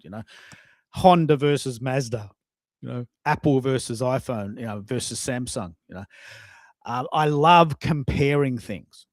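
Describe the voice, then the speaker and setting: A man's voice: mid-range voice, no room sound. A man hosting a casual live-stream chat, informal, rambling and blunt in his way of talking. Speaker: host, social media coach.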